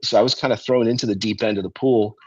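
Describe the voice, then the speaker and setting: A middle-aged man with a lowish voice talks through his musical background, loose and unhurried, dotting his sentences with ums and uhs. The sound is dry and slightly dull. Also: breathy edge, no echo. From Russia, raised in Cleveland, Ohio. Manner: relaxed storytelling with frequent um and uh. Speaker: guest musician. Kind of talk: casual interview talk. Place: restaurant.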